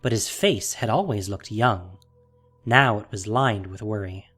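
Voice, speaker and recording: A man's voice: lowish voice, measured pace, fairly bright tone, husky, soft background music. A man reading scripted fantasy fiction as a dramatic narrator.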